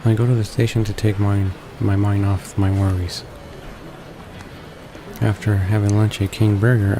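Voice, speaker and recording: A man playing a visual novel and reading its text aloud in a halting way, stumbling and repeating words. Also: on the low side, background noise. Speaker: let's player. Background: game background music.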